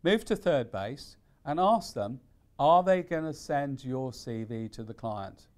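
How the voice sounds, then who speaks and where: A man with a medium-pitched voice presents practical advice in an instructional style. He phrases things deliberately and leans on key words for emphasis, as a careers consultant would. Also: lively intonation, a little mellow. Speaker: careers consultant giving tips.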